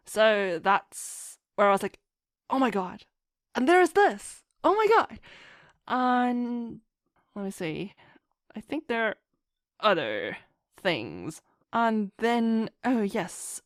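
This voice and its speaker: A woman's voice: fairly high pitch, sing-song, slow pace, long pauses, no echo, clean studio sound. A woman chatting casually as an interview guest, rambling enthusiastically and acting out her own thoughts as she goes. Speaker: podcast guest, fan fiction writer.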